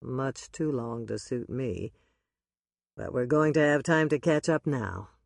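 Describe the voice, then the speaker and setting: A woman voicing a devoted man who speaks low, slow and soft. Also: animated, creaky, no echo. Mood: tender. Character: warm, devoted man, old friend.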